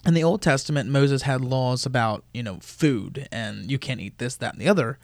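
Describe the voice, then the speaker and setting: A man explains his points as a podcast co-host in a loose, conversational way. He often throws in "dude" and "you know," and his words come out in quick bursts. His voice sits in the middle of the male range and sounds dry and close on the mic. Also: mid-range voice, gravelly, a little mellow, dry recording.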